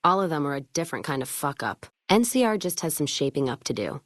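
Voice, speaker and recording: A woman's voice: low-pitched, big pitch swings, fast, no echo, studio quality. A woman playing a tough, foul-mouthed, vengeful caravan trader in a video game, talking in a blunt, folksy, wry way. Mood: blunt, frustrated. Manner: blunt, folksy, wry drawl of plain talk. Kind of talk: video game dialogue acting.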